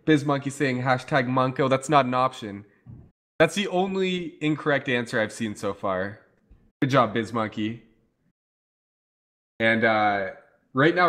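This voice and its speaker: A man casting a live online game match, talkative and playful, his voice going hoarse. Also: medium pitch, fairly bright tone. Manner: talkative, playful, hypes the chat. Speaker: esports caster. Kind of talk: casual live game commentary.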